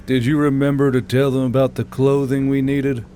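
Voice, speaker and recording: A man's voice: mid-range voice, level tone, normal speed, warm tone, a little hiss or hum, lightly acted. A man voicing an easygoing, offhand human man with a muttering delivery in an audiobook. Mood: mild. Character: easygoing, casual human man, an office boss named Yul. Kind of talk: audiobook character dialogue.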